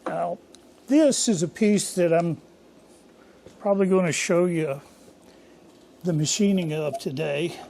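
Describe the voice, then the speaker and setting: Hoarse older man, gravelly and slow. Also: on the high side, warm tone. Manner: rambling, folksy and self-deprecating. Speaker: workshop channel host. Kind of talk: casual workshop vlog. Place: home machine shop.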